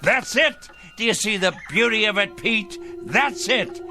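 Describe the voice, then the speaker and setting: An actor playing a deranged, self-righteous crank in an audio drama, ranting gleefully and muttering to himself. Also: male voice, high voice, lively intonation, unhurried, over music. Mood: manic glee. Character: gleeful deranged crank bent on judging evil people. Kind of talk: theatrical audio drama acting.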